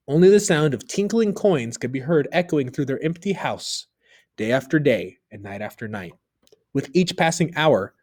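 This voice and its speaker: Expressive male storybook narrator. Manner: expressive, dropping low for narration tags. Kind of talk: children's story read-aloud.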